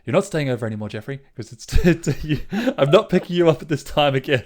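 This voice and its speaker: A man's voice: fairly high pitch, very animated, fast, darkish tone. A young man co-hosting a podcast, telling stories casually in a blunt, slangy way with comic exaggeration. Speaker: podcast co-host. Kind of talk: casual chat, storytelling.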